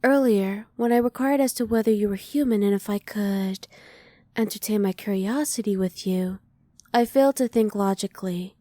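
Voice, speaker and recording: Woman playing a soft, mellow, reserved alien scientist. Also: medium pitch, no echo, studio quality, natural voice. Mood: apologetic, restrained. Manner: soft, measured, formal, restrained. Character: curious, formal, emotionally reserved female alien scientist. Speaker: voice actor. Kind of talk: ASMR roleplay.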